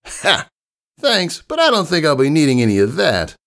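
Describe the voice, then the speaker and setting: A man's voice: on the high side, huge pitch swings, normal speed, fairly bright tone, voice pushed up, exaggerated voice. A man voicing a brash, cocky young female pegasus pony athlete with a swaggering, casual, slangy delivery.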